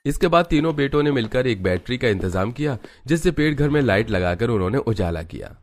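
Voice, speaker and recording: A man's voice: mid-range voice, expressive, brisk. A man narrating a family story in a scripted storybook style.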